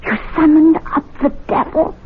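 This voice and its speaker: An actress playing a nagging, superstitious older wife in a 1970s radio drama, scolding and dryly foreboding. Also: female voice, high voice, fairly even intonation, moderate pace, close to an everyday voice. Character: nagging, wary, superstitious wife of an old servant. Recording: lo-fi, noisy old radio broadcast.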